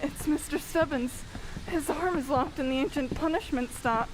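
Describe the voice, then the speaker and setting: A shaken villager acted by a woman stammers in a high, fearful voice. The delivery is halting, in old radio thriller style, with faint noise behind. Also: lightly acted, rough recording. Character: frightened, stammering villager named Harvey. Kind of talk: old-time radio drama acting.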